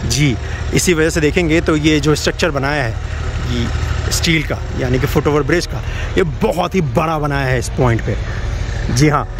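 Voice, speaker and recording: A man giving a chatty walking tour outdoors beside railway tracks, pointing things out and talking to his viewers as friends. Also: on the high side. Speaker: vlogger showing construction sites. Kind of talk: casual walking tour commentary.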